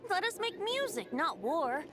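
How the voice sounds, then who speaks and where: A woman's voice: very high voice, sing-song, measured pace, a crowd in the background, heavily acted. A woman voicing a playful, carefree boyish bard who is a wind god in an anime video game, with a lilting, theatrical, poetic delivery. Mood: playful storytelling. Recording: game music behind the voice.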